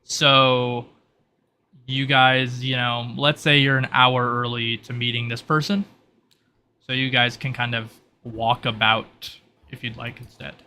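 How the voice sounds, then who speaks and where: A man improvising narration as game master, explaining the scene in a halting, conversational way. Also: medium pitch, little pitch movement, pushed and bright, a little hiss or hum. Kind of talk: improvised narration of a tabletop roleplay.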